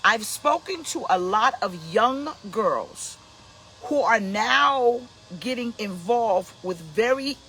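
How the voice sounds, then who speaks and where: A woman's voice: on the high side, lively intonation, slow pace, fairly bright tone, soft background music, low-quality recording. A woman in her fifties hosting a casual motivational talk, emphatic and preachy, repeating her key points. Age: 50s. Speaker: host, pastor.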